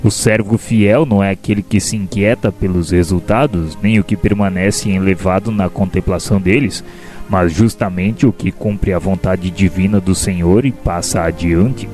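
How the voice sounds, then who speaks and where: An actor as a wise male spirit mentor with a low, deep voice. He teaches gently and solemnly in measured phrases, like a religious radio play, with faint music underneath. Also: fairly even intonation, close to an everyday voice. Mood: gentle admonishing. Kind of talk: religious radio drama acting.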